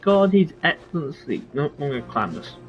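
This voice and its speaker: A man's voice: fairly high pitch, very animated, normal speed, muffled recording, faint music behind. A young adult man giving casual, rambling gameplay commentary as a streamer, thinking aloud as he goes. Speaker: game streamer.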